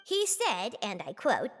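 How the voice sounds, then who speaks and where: A woman's voice: mid-range voice, huge pitch swings, slow, big-room sound, faint music behind, full character voice. A woman playing a polite, dutiful clerk in a game, reporting formally but with animation.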